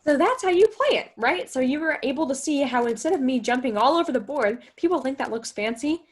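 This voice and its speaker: A woman's voice: high-pitched, lively intonation, a little fast. A woman giving an instructional guitar lesson, explaining step by step and often posing questions as she goes. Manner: explanatory, talks through steps with rhetorical questions. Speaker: guitar teacher and host.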